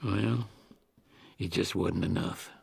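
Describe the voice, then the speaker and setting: An actor playing a gruff, weary old mechanic in a video game, with an American Southern accent and a blunt, folksy drawl. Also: male voice, deep voice, takes it slowly, a little hiss or hum, exaggerated voice. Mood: weary, somber. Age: older. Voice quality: gravelly, husky. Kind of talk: video game cutscene acting.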